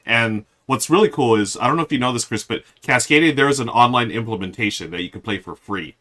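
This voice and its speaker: A man's voice: medium pitch, quick pace, a bit bright. A man co-hosting a board game live stream, rambling casually and talking to viewers as folks, his voice a bit unsteady with a cough drop in. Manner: rambling, restarts often, addresses viewers as folks. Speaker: co-host of a board game show.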